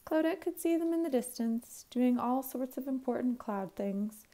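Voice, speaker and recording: A woman, a teacher reading a children's storybook aloud in an expressive, clearly phrased way. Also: high voice, normal speed. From Canada.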